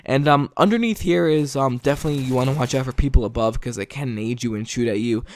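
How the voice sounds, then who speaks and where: A tired man giving casual gameplay commentary late at night, stuttering often and filling pauses with ums and likes. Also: medium pitch, darkish tone. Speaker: gaming video commentator.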